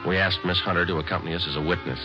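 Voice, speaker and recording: An actor playing a dogged, weary police detective who narrates his own case in a flat, clipped deadpan, in a 1950s radio drama. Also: deep voice, very fast, music in the background, close to an everyday voice. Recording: dull old radio recording.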